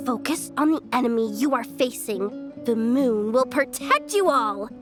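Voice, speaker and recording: Breezy, high-voiced young messenger. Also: female voice, expressive, measured pace, over music. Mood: relaying solemnly. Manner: breezy, chatty, playful. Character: cheerful, mercenary young woman messenger, casual and breezy. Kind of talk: animated video game character acting.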